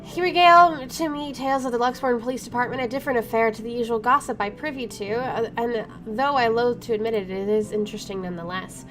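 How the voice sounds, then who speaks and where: A woman streamer with a slightly high voice narrates a game. She reads the text aloud and cuts into it with informal, wry remarks. Music plays clearly behind her. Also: fast, hardly any pauses, a bit bright. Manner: wry, informal, self-interrupting. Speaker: gaming streamer. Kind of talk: let's play, reading game text aloud with casual asides. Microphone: microphone placed to one side.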